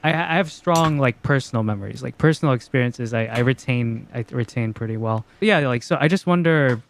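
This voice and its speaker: A young man from the Philippines and the United States, co-hosting a podcast and chatting casually, halting, with restarts and laughs. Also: mid-range voice, gravelly. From Philippines, then the United States. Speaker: podcast co-host. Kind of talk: casual chat.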